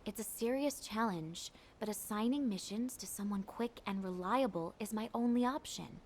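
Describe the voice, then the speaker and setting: A woman's voice: medium pitch, moderate pace, darkish tone, slight background noise, natural voice. A woman voicing an earnest, caring military commander giving formal, composed mission briefings in a video game. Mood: worried, confiding.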